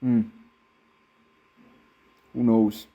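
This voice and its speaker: An American man, his accent slightly tinged Filipino, giving relaxed, rambling vlog commentary from inside a car while driving mountain roads. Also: medium pitch, drawn-out words. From United States. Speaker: vlogger. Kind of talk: casual driving vlog narration.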